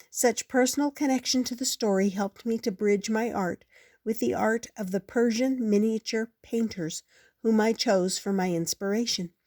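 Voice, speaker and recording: An older woman reading a picture book aloud as the regular storyteller, slow, gentle and expressive. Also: mid-range voice, no room sound, clean studio sound.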